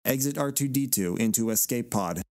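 A man's voice: medium pitch, normal speed, darkish tone, no room sound, clean studio sound. A man reading stage directions as scripted narration for a dramatic reading.

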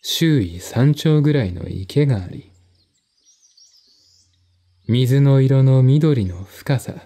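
A male narrator reads literary prose slowly and formally. His voice is mellow and mid-pitched, with deliberate phrasing and a dramatic storytelling delivery. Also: expressive. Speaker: narrator, solo audiobook reader.

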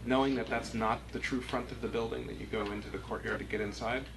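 A man speaking as a review board member, giving halting, hedged critique as he thinks aloud at a meeting. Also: mid-range voice, steady tone, gravelly. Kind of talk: spontaneous critique at a public meeting. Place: small meeting room, indoors. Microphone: distant room camera. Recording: noisy meeting-room recording.

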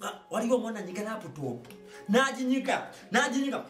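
A young Ugandan man hosting an online show, talking informally and comically to camera in an animated, exclaiming, repetitive way. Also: high voice, fast. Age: young adult. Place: small indoor studio with padded backdrop. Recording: roomy echo, distant microphone, music behind.

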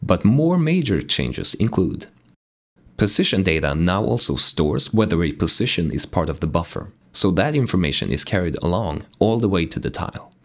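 Deep raspy-voiced man explaining code. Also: normal speed. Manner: calm explanatory walkthrough. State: sick, raspy voice. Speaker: game dev tutorial host. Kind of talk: screen-recorded coding tutorial narration.

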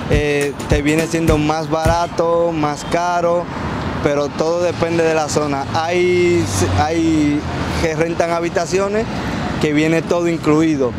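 Hesitant man, slightly high voice. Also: flat delivery, noisy background. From Dominican Republic. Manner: halting, searching for words. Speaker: interviewee. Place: city street outdoors.

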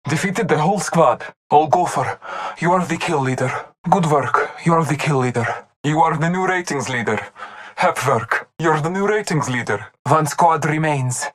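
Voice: mid-range voice, lightly acted. An actor playing a devout, honor-bound masked hunter warrior in a video game, speaking in formal, solemn callouts. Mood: solemn, focused.

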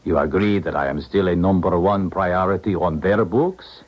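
An actor playing a cool, calculating Eastern Bloc scientist in a 1970s radio drama, formal and deliberate. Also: male voice, sits low. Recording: muffled old radio broadcast.

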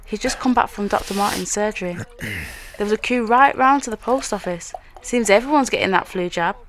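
A woman's voice: medium pitch, brisk, a bit bright, lightly acted. A woman acting a fussing mother of two grown sons in a radio play, scolding and coddling by turns. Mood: concerned.

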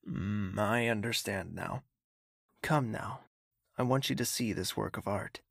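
A man playing a wealthy, smitten, possessive young nobleman in an intimate audio drama, speaking in a husky, teasing, courtly way. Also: lowish voice, a little slow, dry recording, exaggerated voice. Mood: assured, devoted. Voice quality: husky, breathy, creaky.

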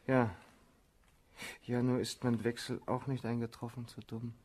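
Man playing a husky, flattering young baron. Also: mid-range voice, a little slow, natural voice. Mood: evasive, eager. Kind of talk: German radio play acting.

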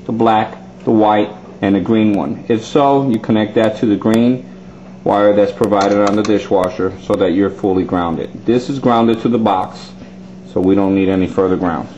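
A man's voice: on the low side, normal speed, darkish tone. A man methodically instructing viewers step by step in a hands-on DIY wiring demonstration. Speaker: plumbing instructor. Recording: dull lo-fi sound with faint noise.

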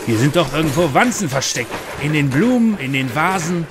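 A man's voice: fairly high pitch, expressive, moderate pace, background noise. An actor playing an unhinged, paranoid conspiracy-minded man with stilted speech in a parody detective audio drama. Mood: paranoid, menacing. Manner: stilted politeness breaking into paranoid ranting. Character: unhinged paranoid conspiracy-raving man, first stiffly polite.

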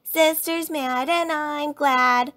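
Woman as a high, bossy six-year-old bear cub. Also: expressive, moderate pace. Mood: angry. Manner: commanding, schoolmarmish childish delivery.

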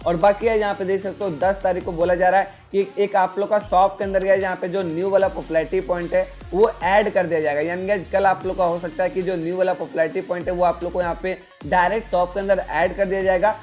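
A young man hosts a gaming explainer in a high-pitched voice. He talks straight to viewers, leaning on repeated filler phrases. Faint music plays behind him. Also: little pitch movement, fast talker, few pauses, darkish tone. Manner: repetitive, filler-heavy address to viewers. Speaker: gaming video host. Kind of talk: explainer narration of game events. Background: background music.

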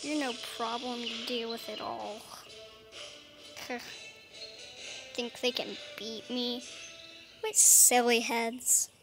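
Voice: mid-range voice, flat delivery, slow pace, gravelly, pauses often. A child hosting a gaming video, chatting casually and playfully in character while playing. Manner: playful, talking to self in character. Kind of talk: casual role-play game commentary. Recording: music behind the voice. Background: game music.

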